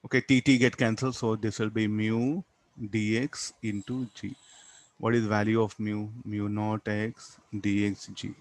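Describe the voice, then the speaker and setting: A man with an Indian accent teaching physics, explaining step by step in a halting way, repeating words and tagging on "huh" and "okay". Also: lowish voice, little pitch movement. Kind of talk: classroom lesson, explaining a worked problem.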